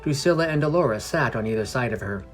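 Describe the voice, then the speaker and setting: Guy reading out a fantasy adventure story, measured, middle pitch, music behind him. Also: expressive. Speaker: podcast narrator. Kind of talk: scripted fantasy story narration. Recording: music under the voice.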